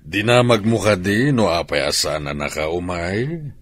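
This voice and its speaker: A man's voice: low-pitched, expressive, unhurried, dry recording. A man playing a stern, probing boss. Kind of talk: Ilocano radio drama acting.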